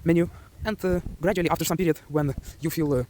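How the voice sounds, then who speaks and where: Earnest Ukrainian-accented young man, higher voice. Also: fairly even intonation, fast talker, mellow, a little hiss or hum. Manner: earnest, loose, hesitant. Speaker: vlogger. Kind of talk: motivational monologue.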